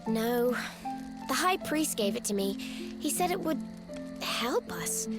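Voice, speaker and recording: A woman's voice: a little high, expressive, takes it slowly. An actress voicing a blunt, confident little girl mage in a video game. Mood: explaining. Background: faint game music.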